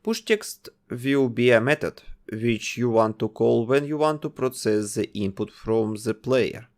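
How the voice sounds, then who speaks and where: Man with a lively voice narrating a coding tutorial. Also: medium pitch. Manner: explains code step by step while testing it. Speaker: game development tutor. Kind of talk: screen-recorded coding tutorial.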